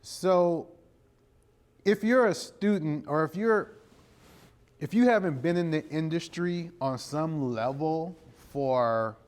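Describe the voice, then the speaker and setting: A man's voice: fairly high pitch, slow pace, a little mellow. A middle-aged American man answering interview questions conversationally, explaining things and often checking in with his listeners. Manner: explanatory, punctuated with "you know" and "right?" check-ins. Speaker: interview guest, creative director and video producer. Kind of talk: conversational interview answers before a live audience.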